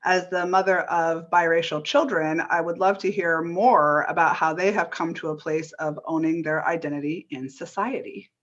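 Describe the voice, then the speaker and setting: A woman's voice: fairly low pitch, steady tone, moderate pace, hardly any pauses. A woman moderating a panel, friendly and reading out audience questions. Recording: dull lo-fi audio.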